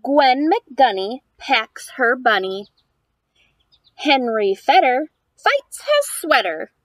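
A woman's voice: high voice, very animated, slow, rough recording. A woman in her thirties speaking as a preschool teacher to young children, in an exaggerated sing-song read-aloud delivery. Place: outdoors on a house porch.

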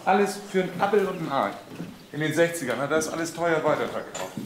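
Wry, gossipy villager. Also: male voice, fairly high pitch, very animated, fast talker, noisy background. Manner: colloquial, wry. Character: gossipy, scornful male local villager. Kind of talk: German crime drama acting.

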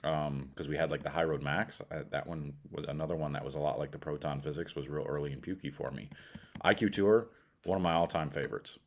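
A man's voice: rumbling low, fairly quick, darkish tone. A middle-aged man with an American accent gives a casual product review in a blunt, colloquial way. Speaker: bowling ball reviewer.

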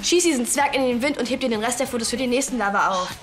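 Actress as quick, blunt teenage girl. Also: a little high, over music, lightly acted. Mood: blunt concern. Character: blunt, supportive teenage girlfriend giving advice. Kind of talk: TV series acting.

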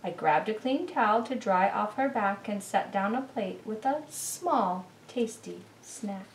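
A middle-aged woman giving an expressive, deliberate picture-book read-aloud as a children's presenter. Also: medium pitch, a little hiss or hum. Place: indoor living room beside a Christmas tree. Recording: roomy, distant camera microphone.

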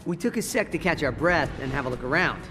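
An actor plays a young turtle leader who speaks in a slightly raised, sincere voice. He tells the story earnestly and reflectively, while clear music plays behind him. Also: on the high side, animated, fast, lightly acted. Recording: game cutscene over music.

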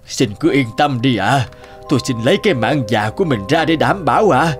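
A man's voice: mid-range voice, lively intonation, a little fast, soft background music, lightly acted. A man voicing a wily old male crow in a cartoon fairy tale, deferential, pleading and hesitant. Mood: humble pleading.

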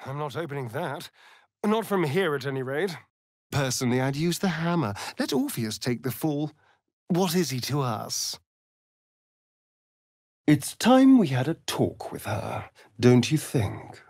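An actor playing an arch, flirtatious, sardonic vampire rogue in a video game, with a theatrical drawl. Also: male voice, fairly high pitch, sing-song, unhurried, pauses often, a little mellow, no room sound, studio quality, exaggerated voice. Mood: dry, dismissive. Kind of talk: video game character dialogue.

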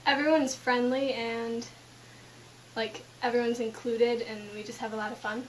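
Teen girl, slightly high voice, hesitant short answers, faint room noise. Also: flat delivery, moderate pace, distant mic, low-quality recording. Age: teens. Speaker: youth group member. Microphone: handheld mic held by interviewer.

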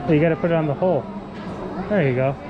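A man's voice: a little high, racing. A man casually narrating a game of indoor mini golf, chatty and upbeat, laughing often. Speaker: vlogger. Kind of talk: casual vlog commentary while playing mini golf. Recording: dull lo-fi camera audio with loud crowd and noise.

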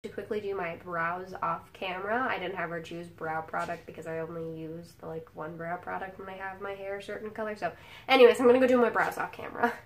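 A woman's voice: fairly low pitch, fairly even intonation, quick pace, a little hiss or hum. A young woman beauty vlogger with a sick, nasal voice, chatting casually in her bedroom while doing her makeup, rambling with lots of fillers. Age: young adult. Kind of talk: casual makeup tutorial chat. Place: bedroom at home, indoors.

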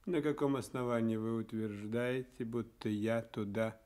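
A man's voice: medium pitch, steady tone, moderate pace, a little mellow, close to an everyday voice. An actor playing a curt, haughty millionaire businessman with a dismissive manner in a radio play. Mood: cold, dismissive.

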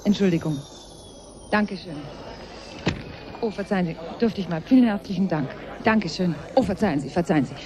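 A woman's voice: lowish voice, a little fast, long pauses, crowd noise, lightly acted. A woman playing a polite, eager live reporter in a radio drama, speaking formally over noisy background ambience. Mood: polite, hurried. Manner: formal, courteous.

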